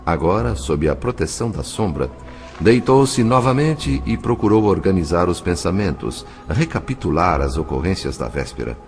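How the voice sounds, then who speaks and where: A man's voice: low-pitched, expressive, moderate pace. A man with a Brazilian accent narrating an audio novel in a solemn, literary, carefully phrased style. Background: faint music.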